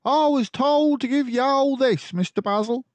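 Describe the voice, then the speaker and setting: A man's voice: extremely high pitch, moderate pace, dry recording, pitched above the performer's own voice. A man voicing a timid, tubby working-class mouse messenger in a storybook, nervous and deferential. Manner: timid, deferential, rustic phrasing.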